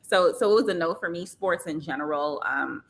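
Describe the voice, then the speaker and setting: Low-voiced Trinidadian woman in her thirties. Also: normal speed, a little hiss or hum. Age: 30s. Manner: laughs often, lively storytelling. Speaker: guest, model agency founder. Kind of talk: podcast interview.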